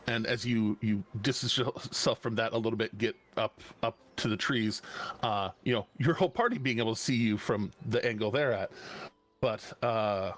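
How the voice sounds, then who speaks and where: Slow, halting man running a game. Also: lowish voice, fairly bright tone. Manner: slow, halting, many restarts and fillers. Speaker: game master. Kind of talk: narrating a tabletop game.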